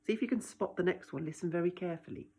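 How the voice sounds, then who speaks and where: A woman's voice: lowish voice, racing, darkish tone, slightly rough. A woman reading a picture book aloud expressively, like a teacher, with dramatic delivery and direct asides to young listeners. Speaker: children's story reader, teacher.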